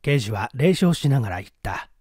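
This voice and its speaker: A man's voice: medium pitch, big pitch swings, brisk, darkish tone, slightly rough, no room sound, studio quality. A man narrating a mystery story in a dramatic, scripted reading style.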